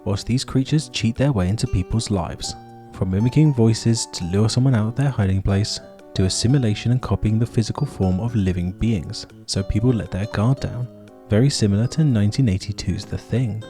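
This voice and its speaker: A man's voice: on the low side, brisk, mellow, faint music behind. A man hosting and narrating a scripted video essay.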